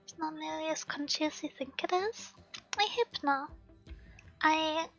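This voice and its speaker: A woman streamer with a hoarse, sore throat, chatting casually and laughing at herself during a live game stream. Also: high-pitched, measured pace, a bit bright. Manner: chatty, self-deprecating, laughing. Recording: slightly dull stream audio, faint game music.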